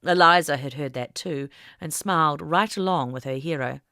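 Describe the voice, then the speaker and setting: A woman's voice: sits low, moderate pace, sharp tone, dry recording, clean studio sound. A woman reading a scripted story aloud as an audiobook narrator.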